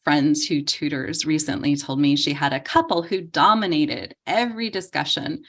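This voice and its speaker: A woman leading a training session for volunteer tutors, explaining calmly and often pausing on "um". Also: deep voice. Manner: calm, explanatory, frequent ums. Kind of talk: training lecture.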